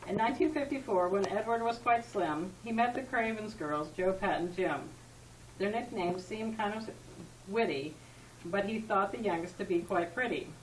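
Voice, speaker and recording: A woman's voice: medium pitch, moderate pace. Woman reading a homemade rhyming poem at a party, far from the mic, roomy echo, bit of noise. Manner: informal amateur reading with stumbles. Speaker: family member reading a tribute poem. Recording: echoey room, distant mic, background noise.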